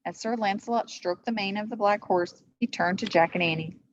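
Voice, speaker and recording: A woman's voice: medium pitch, brisk, no room sound. A woman reading a children's storybook aloud as a teacher would, in a slightly muffled recording. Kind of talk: storybook read-aloud narration.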